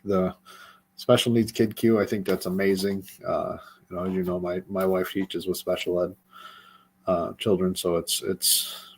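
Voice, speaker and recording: A man with a husky voice co-hosting a casual barbecue live stream, playful and teasing in his banter and plugs. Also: low voice, little pitch movement, normal speed, slight background noise. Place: video call on a live stream.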